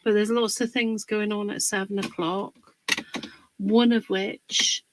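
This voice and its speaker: Husky woman hosting a slow craft livestream. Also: medium pitch, little pitch movement, a little hiss or hum, rough recording. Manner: chatty, greets viewers by name. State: husky from a cold. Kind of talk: casual live craft demonstration.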